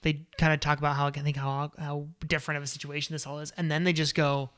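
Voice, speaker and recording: Give me a bright, sharp-toned man in his thirties, playful and relaxed, recapping a reality show with a friend. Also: a little high, fast talker, clear, resonant tone. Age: 30s. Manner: easygoing, laughs often, playful. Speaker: podcast co-host. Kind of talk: casual reality-TV recap chat.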